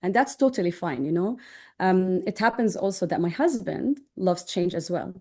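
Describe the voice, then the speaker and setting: A woman's voice: on the low side, lively intonation, normal speed, dark tone. A woman in her thirties from Jordan, answering interview questions in an upbeat, informal, chatty way. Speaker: interview guest, online business owner.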